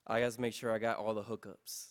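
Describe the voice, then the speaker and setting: A man's voice: fairly low pitch, level tone, fast. A man from Puerto Rico giving a casual, upbeat sermon to a congregation, talking conversationally with many fillers and restarts. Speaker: guest preacher. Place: church sanctuary. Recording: long church-hall reverb.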